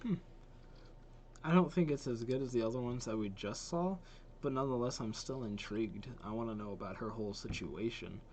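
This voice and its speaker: A man's voice: mid-range voice, brisk, a little mellow, a little hiss or hum. A young adult man chatting casually as a reaction video host, slangy and thinking aloud. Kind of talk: casual chat, reacting to game trailers.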